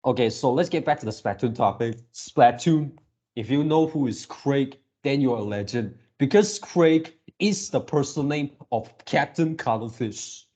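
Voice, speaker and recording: A man's voice: medium pitch, animated, moderate pace, mellow. A man giving casual reaction commentary as a video creator, rambling like an enthusiastic fan.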